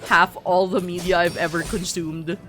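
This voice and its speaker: A woman's voice: lowish voice, flat delivery, normal speed, fairly bright tone. A woman with a middle-class Filipino English accent chats casually and playfully to viewers as she streams a game, often interrupting herself. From Philippines. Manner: talkative, self-interrupting, playful. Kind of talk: casual chat to viewers while gaming. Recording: music playing behind the voice. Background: game music.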